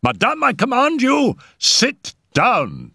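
Man acting an alarmed man, urgent high cries. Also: wildly swooping pitch, takes it slowly, vocal fry, breathy edge, dry recording, studio quality, exaggerated voice. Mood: frantic commanding. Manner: urgent, shouted warnings. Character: alarmed commanding man, desperate and pleading.

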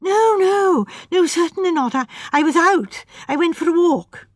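A woman's voice: lively intonation, unhurried, no room sound, studio quality. A woman voicing a nervous, distraught young male architect who stammers and pleads. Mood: flustered. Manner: stammering, high-strung, pleading.

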